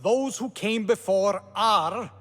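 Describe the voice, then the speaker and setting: A man playing a ceremonious, excitable gatekeeper in a video game, his voice ringing in long reverb. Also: sits high, slow pace, heavily acted.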